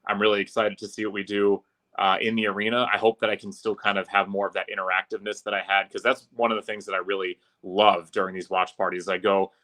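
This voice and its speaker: A man's voice: on the low side, little pitch movement, quick pace, bright, forceful tone. A man who works as an announcer, chatting informally and fluently as a podcast guest. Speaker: podcast guest, arena public address announcer. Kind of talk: casual interview chat.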